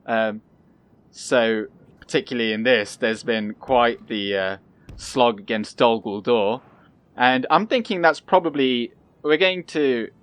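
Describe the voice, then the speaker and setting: A young man giving calm, casual commentary over his own gameplay, soft-spoken and pausing often with fillers. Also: medium pitch, clean tone. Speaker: gaming channel host.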